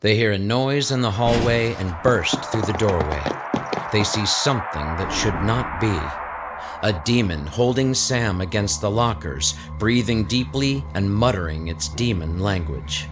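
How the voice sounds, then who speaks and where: A man narrates a supernatural audio drama from a script. His voice is on the low side, and soft music plays faintly behind it. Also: animated, unhurried.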